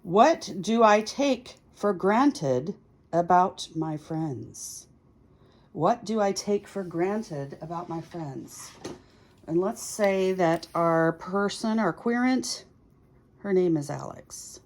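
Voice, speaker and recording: A woman's voice: on the low side, animated, slow, darkish tone. A woman hosting a tarot reading, chatting casually and thinking aloud in short, musing phrases. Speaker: tarot reader hosting a video series.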